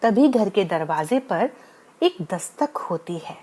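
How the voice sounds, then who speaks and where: A woman's voice: medium pitch, big pitch swings, takes it slowly, dark tone, breathy edge. Woman reading out a kids moral story, mid-range voice, scripted storytelling. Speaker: story narrator. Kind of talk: scripted bedtime story narration.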